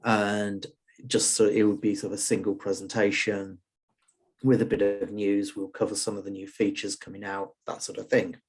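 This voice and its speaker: A man's voice: lowish voice, little pitch movement, normal speed. Hesitant man hosting an online meetup. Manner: casual, hesitant, many fillers and restarts. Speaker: user group organiser and host. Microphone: video call.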